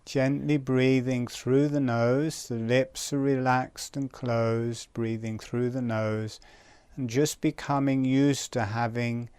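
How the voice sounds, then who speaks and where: Slow, smooth-voiced man guiding relaxation. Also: on the low side, no echo. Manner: calm, gentle, unhurried, spaced short phrases. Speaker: breathing and relaxation instructor. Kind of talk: guided relaxation exercise instruction.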